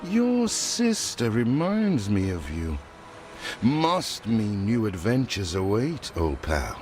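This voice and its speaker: An actor playing a wry, loyal old pal and sworn protector in a video game, with a dramatic, bantering delivery. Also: male voice, lowish voice, huge pitch swings, takes it slowly, darkish tone, faint music behind, heavily acted. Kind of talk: video game story dialogue.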